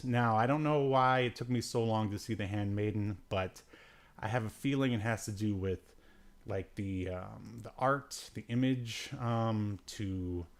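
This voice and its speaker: A man hosting a podcast with casual, rambling review chat, hesitant and given to dry, joking asides. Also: fairly low pitch. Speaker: podcast host.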